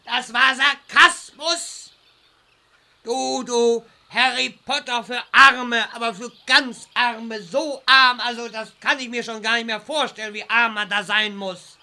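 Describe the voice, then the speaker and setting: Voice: mid-range voice, steady tone, a little slow, sharp tone. An actor playing a snide, bullying master wizard who rants with theatrical sarcasm in a fantasy parody audio drama. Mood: scornful. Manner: sarcastic, scornful, theatrical ranting.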